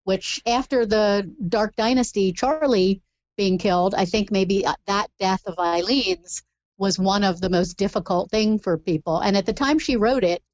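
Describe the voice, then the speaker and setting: A woman's voice: medium pitch, normal speed, darkish tone, no echo, dull audio. A woman talking about a book in a casual podcast chat, thoughtful and analytical.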